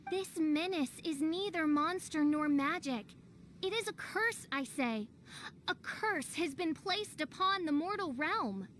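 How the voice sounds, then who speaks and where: A woman's voice: very high-pitched, animated, unhurried, fairly bright tone, slight background noise, full character voice. A woman voicing a theatrical, grandiose teenage girl who poses as royalty in a video game, with pompous, archaic diction. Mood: grandiose, grave.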